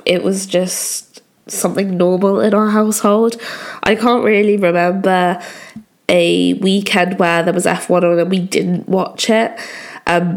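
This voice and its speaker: A young woman from England hosting a solo podcast, her voice croaky from a cold, chatting along with lots of "kind of" and "um". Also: medium pitch. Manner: chatty, many fillers like "kind of". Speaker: podcast host.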